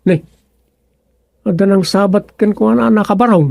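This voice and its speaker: A man's voice: sits high, normal speed, gravelly, darkish tone, no room sound. An actor playing an earnest younger man in a comedic radio drama, explaining things insistently to his old father. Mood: concerned.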